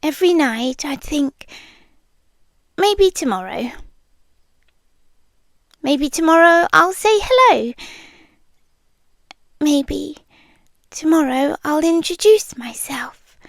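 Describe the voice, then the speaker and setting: High, slow, whispery British monster girl, acted by a woman. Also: expressive, no room sound, studio quality, exaggerated voice. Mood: shy, confessional. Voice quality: soft, breathy, often dropping into whispers. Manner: slow, hesitant, tender delivery. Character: shy, smitten female monster living under the bed. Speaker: ASMR roleplay performer. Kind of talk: ASMR roleplay, intimate monologue. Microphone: close microphone, dry.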